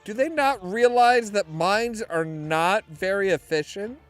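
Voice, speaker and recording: A man's voice: high-pitched, animated, unhurried, soft background music. A man giving casual, slangy, sweary commentary while playing a video game. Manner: profane, slangy.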